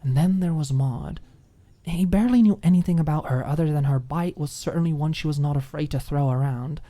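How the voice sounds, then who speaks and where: A woman's voice: low-pitched, fairly quick, dark tone. A woman reading scripted story narration as an audiobook narrator.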